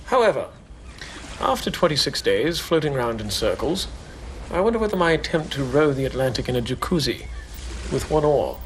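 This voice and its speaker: An actor playing a stammering, foolhardy man alone at sea, reading his diary in a dry, deadpan way. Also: fairly high pitch, slight background noise, full character voice. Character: foolhardy man rowing alone, stranded, stiff upper lip.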